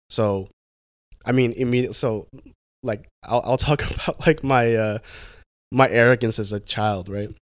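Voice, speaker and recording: A young man speaks in a low voice, easygoing but halting, restarting phrases and leaning on fillers as he tells his story. The sound is dry and close, with no room behind him. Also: no echo. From Pacific Northwest, United States. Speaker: guest. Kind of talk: casual conversational interview.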